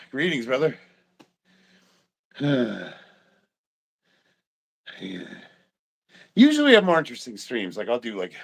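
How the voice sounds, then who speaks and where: A congested man in his fifties, short of breath, chatting casually on a livestream, swearing and often clearing his throat. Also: fairly low pitch, a bit bright. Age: 50s. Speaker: livestreaming powerlifter.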